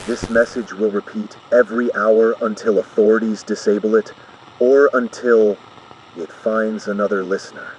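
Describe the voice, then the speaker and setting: A man playing a calm, formal emergency broadcast announcer in an analog horror piece, measured and ominous. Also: lowish voice, a little hiss or hum, lightly acted, low-quality recording.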